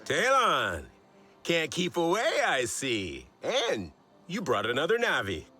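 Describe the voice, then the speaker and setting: An eccentric, friendly inventor from a video game, with a bright, forward voice. He chatters proudly and with self-amusement, his intonation leaping all over. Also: medium pitch, huge pitch swings, takes it slowly, breathy edge, soft background music, exaggerated voice. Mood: welcoming. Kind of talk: video game cutscene acting.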